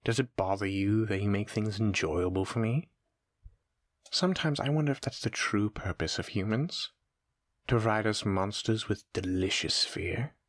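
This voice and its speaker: Horror roleplay monster, male actor: centuries old, sadistic and taunting, lowish pitch, leisurely musing delivery, dry recording. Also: animated, normal speed, no room sound. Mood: gloating. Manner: gleeful, mocking, theatrical, leisurely musing. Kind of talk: scripted horror audio roleplay addressed to the listener.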